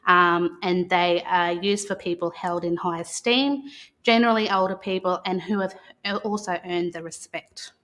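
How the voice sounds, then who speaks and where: A woman with an Australian accent speaking as a guest on a children's live stream, explaining things in a measured, halting, courteous way. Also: fairly low pitch, steady tone, fairly bright tone.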